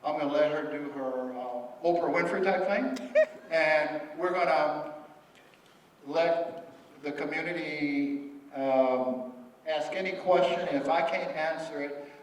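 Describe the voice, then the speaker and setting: A man presenting at a public meeting, speaking with halting restarts and repeated words. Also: fairly high pitch. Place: large echoey meeting hall. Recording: echoey hall audio from far off, faint noise.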